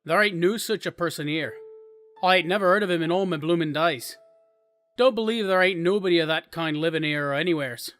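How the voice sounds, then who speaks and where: An actor playing a gruff, dismissive working-class lodging-house keeper with rough, emphatic speech in an audio drama. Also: male voice, on the high side, fairly quick, a bit bright, soft background music, lightly acted.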